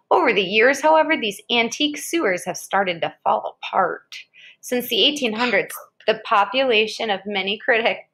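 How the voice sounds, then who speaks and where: American woman with a highish voice reading an article out loud, chatty little asides here and there. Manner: conversational, interjects short reactions. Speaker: reader of an article aloud to a child. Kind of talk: read-aloud of an educational article with casual asides.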